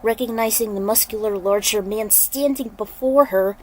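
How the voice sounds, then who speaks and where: A woman's voice: on the high side, normal speed, rough recording. A woman narrating a piece of fan fiction, reading the prose aloud as a dramatic story.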